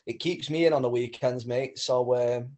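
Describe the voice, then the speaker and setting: Matey North West English man, mid-pitched. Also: fairly even intonation, moderate pace, warm tone. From Manchester area, England. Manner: matey, slangy, stumbling over starts. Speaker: guest caller. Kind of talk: casual chat.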